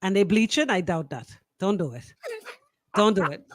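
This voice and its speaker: A woman's voice: mid-range voice, expressive, moderate pace, no echo, studio quality. A woman with a Bahamian accent hosting radio in a colloquial, emphatic banter style, repeating phrases for emphasis. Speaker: radio show host. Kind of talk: lively radio banter with sponsor shout-outs.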